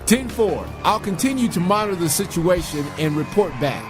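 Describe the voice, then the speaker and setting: An actor playing a weary, fretful veteran police officer in a comedy, with loud music behind him. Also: male voice, sits high, lively intonation, normal speed. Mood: puzzled, uneasy. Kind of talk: comedic police radio banter.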